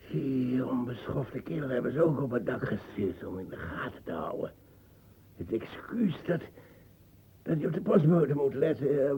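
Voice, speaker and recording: A man's voice: on the low side, vocal fry, dark tone, husky, full character voice. An actor playing a paranoid, agitated old man in a radio play, stammering and muttering into whispers. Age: older. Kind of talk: radio drama acting.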